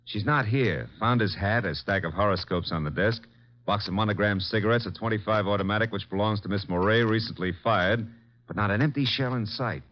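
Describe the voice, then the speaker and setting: Man playing deep-voiced streetwise private detective. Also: a little fast, natural voice. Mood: brisk, reporting. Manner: casual, clipped, thinking aloud. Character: streetwise, watchful male private detective's legman. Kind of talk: old-time radio detective drama acting. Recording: dull, lo-fi old radio recording.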